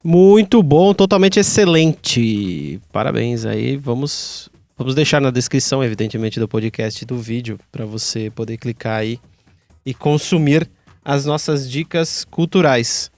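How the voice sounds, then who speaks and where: Casual podcast host: Brazilian male, slangy and informal, mid-pitched, very dry recording. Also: expressive, unhurried, darkish tone, no echo. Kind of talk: casual chat.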